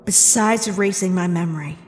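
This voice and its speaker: A woman acting a wounded, stern young princess with slow, accusing delivery in a dramatic fan comic dub. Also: on the low side, natural voice. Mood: grieving, accusing. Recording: long reverb under loud background music.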